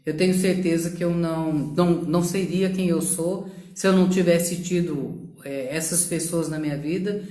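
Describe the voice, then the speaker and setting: A woman's voice: fairly low pitch, fairly even intonation, normal speed, few pauses, warm tone, echoey room. A middle-aged woman from São Paulo state, telling her own career story in a casual live talk, reflective and full of fillers and tag questions. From São Paulo state, Brazil. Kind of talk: live social media talk.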